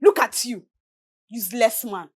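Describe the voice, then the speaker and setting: A woman's voice: a little high, unhurried, husky. A woman playing a young fiancée who scolds and mocks her partner. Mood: scolding. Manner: scolding, mocking. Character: sharp-tongued young fiancée.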